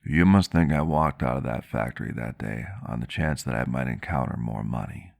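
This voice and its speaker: A man playing a reflective, gentle vampire doctor who tells a story in measured, old-fashioned phrasing for an ASMR roleplay. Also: a bass voice, vocal fry, full character voice. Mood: wistful. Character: male vampire doctor, ageless but youthful-seeming, reflective and gentle. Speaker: roleplay character telling a story.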